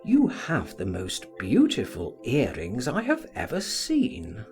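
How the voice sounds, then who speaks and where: A man voicing an eager, gallant young man who flatters society ladies in an audiobook, courteous and ardent. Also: medium pitch, takes it slowly, over music, full character voice. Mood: nervous gallantry.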